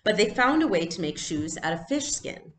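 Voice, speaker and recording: A woman's voice: mid-range voice, very animated, moderate pace, reverberant, slight background noise, voice pushed up, lightly acted. A woman voicing a patient, practical storybook mother who explains things soothingly. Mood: explaining.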